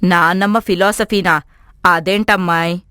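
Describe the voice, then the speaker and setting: A woman's voice: fairly low pitch, steady tone, a little slow, bright, forceful tone, clean tone, dry recording, clean studio sound. A woman voicing a strong-willed, persuasive middle-aged mother-in-law in a family story cartoon. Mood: concerned, puzzled.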